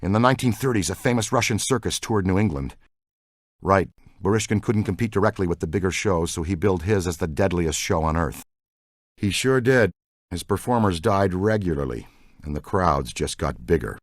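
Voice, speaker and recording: A man voicing a brisk, wry member of a ghost-catching team in a video game. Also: low-pitched, animated, no room sound, clean studio sound. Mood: low-key, wry. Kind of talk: video game character lines.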